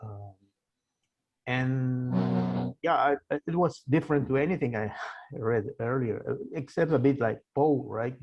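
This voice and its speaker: A man in his sixties from Argentina, a native Spanish speaker, answering interview questions in a halting way with many fillers and restarts. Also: medium pitch, a little mellow, slightly rough, dull audio. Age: 60s. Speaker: guest author. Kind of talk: casual interview.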